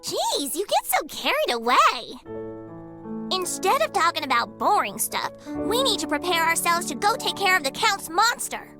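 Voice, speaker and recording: A woman's voice: very high-pitched, very animated, moderate pace. A woman voicing a brash, hot-tempered young forest guardian girl in a video game, talking bluntly and bossily over music. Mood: exasperated. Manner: blunt, bossy, scrappy tomboy talk. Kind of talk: English video game dub acting.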